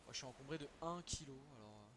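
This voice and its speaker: A man's voice: mid-range voice, quick pace, fairly bright tone, slight background noise. A young man streaming a game, chatting casually and swearing freely in slangy talk. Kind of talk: casual gaming chat.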